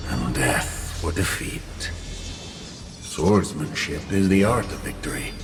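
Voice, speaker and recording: Menacing flame spirit, extremely deep voice, deliberate, grandiose, commanding, game dialogue over clear music. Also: male voice, exaggerated voice. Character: seductive, menacing male flame spirit acting as sword master. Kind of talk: dramatic video game voice acting.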